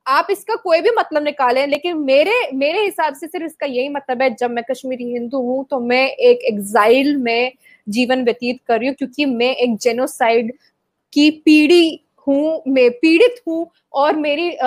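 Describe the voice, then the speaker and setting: A high-pitched woman from Kashmir argues her case with passion. She fires off rhetorical questions and stumbles through frequent hesitations as she pushes her points. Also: normal speed. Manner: impassioned, argumentative, rhetorical questions with many hesitations. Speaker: interview guest. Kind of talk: heated debate answer.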